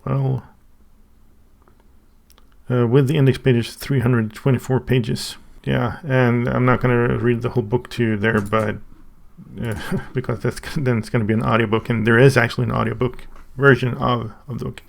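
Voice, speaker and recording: Gravelly man with a Swedish first language. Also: medium pitch, level tone. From Sweden. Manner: halting, thinking aloud with many restarts. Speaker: channel host. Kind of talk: casual unboxing review.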